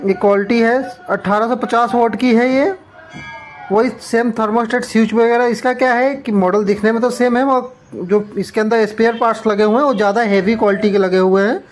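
A man gives an informal product demonstration, explaining plainly and item by item as a shop presenter. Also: sits high. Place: small shop, indoors.

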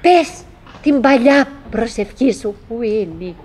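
A high-pitched, wistful wife, played by an actress in a radio drama. She chatters to herself in broken, repeated phrases, lonely and theatrical, leaving pauses between thoughts. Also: animated, darkish tone, close to an everyday voice. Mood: wistful, resigned. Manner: fragmented, repetitive musing with pauses and self-address. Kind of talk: theatrical radio play acting, monologue.

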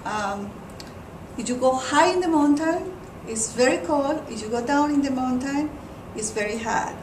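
A middle-aged woman with a Colombian accent, a native Spanish speaker, answering interview questions as a teacher. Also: a little high. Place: school classroom, indoors. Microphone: camera at a distance. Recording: roomy, noisy classroom, distant mic.

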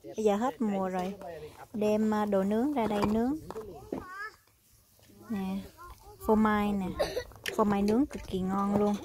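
A woman's voice: medium pitch, fairly even intonation, measured pace, long pauses, a crowd in the background. A woman casually narrating a family barbecue vlog outdoors, pointing out each food as she films. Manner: chatty, points out food items one by one. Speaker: vlogger filming a family barbecue. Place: outdoors on a rural farm field.

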